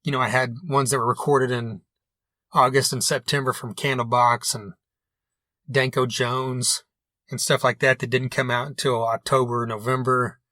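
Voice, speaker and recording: A man's voice: mid-range voice, flat delivery, moderate pace, bright, forceful tone, no echo. A man hosting a podcast, rambling casually and correcting himself as he introduces an interview. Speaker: podcast host.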